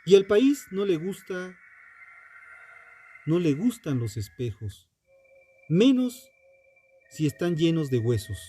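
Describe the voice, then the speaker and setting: A man narrating a scripted true crime story with dramatic, deliberate phrasing and pointed pauses. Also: a little high, big pitch swings, dark tone. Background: music under the voice.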